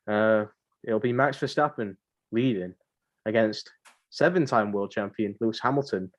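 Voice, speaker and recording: A man's voice: lowish voice, moderate pace, lo-fi sound. A man hosting a casual podcast chat, somewhat hesitant and often repeating his phrases. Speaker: podcast host.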